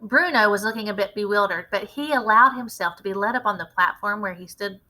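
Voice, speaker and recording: A woman reading a children's story aloud as an expressive storyteller. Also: mid-range voice, fairly quick, low-quality recording. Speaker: read-aloud storyteller, teacher.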